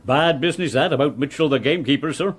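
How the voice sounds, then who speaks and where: An actor playing a deferential, gossipy village postmaster in a period radio drama, with a husky voice. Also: male voice, on the high side, fast. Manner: chatty, folksy, deferential.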